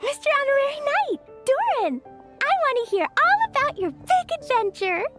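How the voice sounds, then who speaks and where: Little girl, childlike, very high animated voice, bubbly, excitable, curious, anime game. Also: normal speed, music in the background. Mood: excited. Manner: bubbly, exclamatory.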